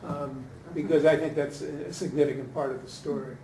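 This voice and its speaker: A man's voice: a little high, fairly even intonation, fairly quick. A man giving hesitant, informal feedback in a group discussion, thinking aloud as he goes. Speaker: audience member, scholar giving feedback. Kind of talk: informal spoken critique at a talkback. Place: indoor room at a post-reading discussion, far from the mic. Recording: distant roomy recording with background noise.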